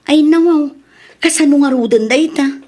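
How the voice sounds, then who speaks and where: A woman's voice: high-pitched, moderate pace, warm tone, natural voice. A woman playing a nosy, chatty villager in a comedy radio drama, with an Ilocano accent. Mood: prying, opinionated.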